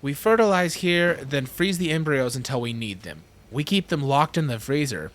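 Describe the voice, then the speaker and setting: A man's voice: a little high, lively intonation, moderate pace, lightly acted. A man playing a proud, self-assured geneticist explaining his lab to visitors in a fan audio drama. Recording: music under the voice.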